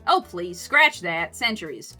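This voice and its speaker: A woman's voice: fairly high pitch, sing-song, normal speed, pushed and bright, faint music behind, full character voice. A woman voicing a friendly, teasing animal mother who runs a coffee shop, with an American Southern accent and sassy, folksy banter.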